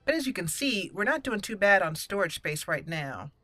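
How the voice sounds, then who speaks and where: A woman's voice: mid-range voice, very animated, moderate pace, no room sound. A woman giving casual let's play commentary as the channel's host, chatty and thinking aloud. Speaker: host of a game roleplay channel. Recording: voice over soft game music. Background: game music.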